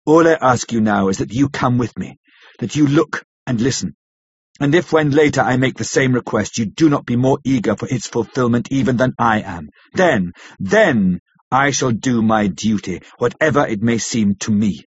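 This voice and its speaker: A man voicing a grave, elderly foreign professor in an audiobook, stern yet compassionate, speaking in solemn, halting, formal phrases. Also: mid-range voice, slightly rough, dry recording, lo-fi sound, close to an everyday voice. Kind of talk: dramatic audiobook character reading.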